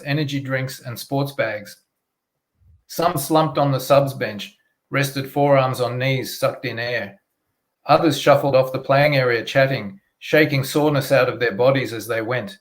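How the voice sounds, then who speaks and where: A man's voice: mid-range voice, measured pace. A man, an author talking casually and reading his crime fiction aloud. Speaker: author, interview guest.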